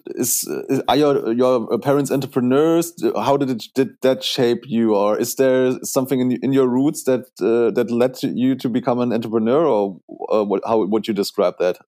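A man's voice: mid-range voice, normal speed, mellow. A man hosting a podcast, asking questions with many restarts and fillers. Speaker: podcast host. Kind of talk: casual interview.

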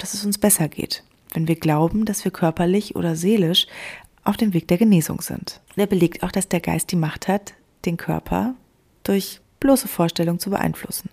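A woman's voice: on the low side, a little mellow. A young adult woman speaking earnestly in a personal spiritual monologue, talking straight to the listener and now and then dropping to a whisper. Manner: earnest, addresses the listener directly, sometimes drops to a whisper.

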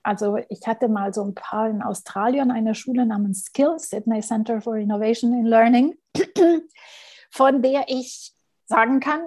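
Woman with a slightly high voice, chatty guest telling travel stories, lots of ums. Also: husky. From Germany. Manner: lively storytelling with many fillers and restarts. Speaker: guest, school founder. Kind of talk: casual interview conversation.

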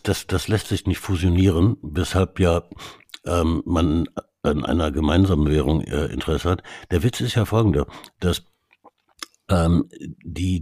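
Man, deep dark voice, husky, dry close sound, hesitant with fillers. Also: no echo. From Canada. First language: English. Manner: hesitant, many restarts and fillers. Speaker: media expert guest. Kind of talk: casual expert conversation.

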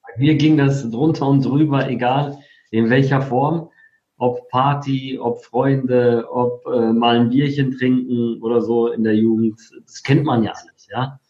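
A man's voice: medium pitch, steady tone, measured pace, warm tone. A forty-year-old man from Poland who grew up in Germany, talking casually about himself as an interview guest. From born in Poland, grew up in Germany. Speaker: interview guest, affiliate marketer. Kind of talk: casual autobiographical telling. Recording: roomy, slightly dull sound.

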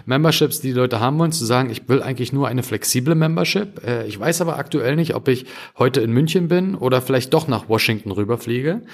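Fast-talking man full of fillers. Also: medium pitch. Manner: quick, with many fillers and restarts. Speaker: coworking company executive. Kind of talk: casual interview talk.

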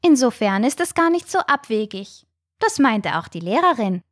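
Woman as an earnest, high-voiced little shark. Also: big pitch swings, moderate pace, no echo, studio quality. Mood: earnest, eager. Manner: earnest, eager explaining.

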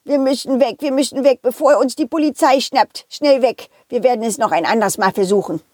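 A woman's voice: a little high, fairly quick, a little mellow, dry recording, studio quality, pitched above the performer's own voice, lightly acted. A woman voicing a fretful, hasty thieving monkey in a children's audio play, exclaiming and repeating itself. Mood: fearful, urgent.

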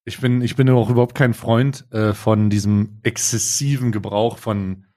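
A man's voice: fairly low pitch, steady tone, moderate pace, darkish tone. A man co-hosting a podcast in casual chat, frank and teasing, often stopping on fillers. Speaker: podcast co-host.

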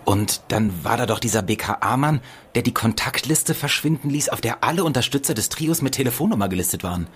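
An actor playing an inquisitive, sceptical journalist in a political radio play, with a probing, wry manner. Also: male voice, medium pitch, expressive, a little fast, fairly bright tone, husky.

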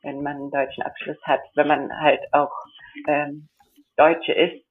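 A woman's voice: sits low, little pitch movement, measured pace, husky. A young woman who grew up in India, telling her story as an interview guest in a chatty, rambling way with many fillers and frequent laughs. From grew up in India, born in Germany. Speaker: interview guest, model and entrepreneur. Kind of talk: casual interview chat. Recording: tinny phone-like line.

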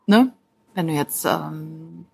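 A woman's voice: low voice, takes it slowly, vocal fry, a little mellow, slightly rough. A woman giving an informal motivational talk as a life coach, speaking directly and encouragingly to the listener. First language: German.

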